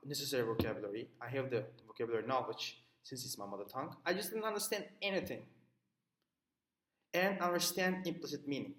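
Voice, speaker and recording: A man's voice: mid-range voice, a bit bright, roomy sound, slight background noise. A man whose first language is Turkish, summarizing a reading assignment for a class video, with many fillers and restarts as he explains through personal examples. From Turkey. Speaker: student presenting a reading assignment.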